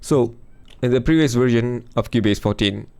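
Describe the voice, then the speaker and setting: A man losing his voice, chatting casually through a music software demo over a screen recording. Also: mid-range voice, moderate pace, clean tone. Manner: chatty, thinks aloud with fillers. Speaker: music production youtuber.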